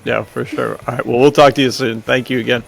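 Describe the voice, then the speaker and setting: Gravelly casual male podcast host. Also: mid-range voice, steady tone, fast talker, over a noisy crowd. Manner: informal, slangy. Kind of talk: casual chat.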